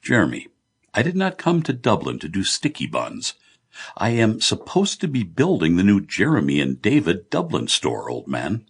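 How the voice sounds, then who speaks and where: Man playing an affable architect, husky and very animated. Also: sits low, normal speed, voice pushed up, heavily acted. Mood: agreeable, assured. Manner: agreeable, chummy, mildly amused. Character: George, affable, confident male architect, amused old friend.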